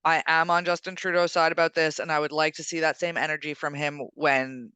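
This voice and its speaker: A woman speaks with a bright, very clean voice in a dry, close sound. She is a co-host chatting casually, opinionated and emphatic, building her case one point at a time. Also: low voice, little pitch movement, brisk, clean tone, no room sound. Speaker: co-host of a weekend current affairs show.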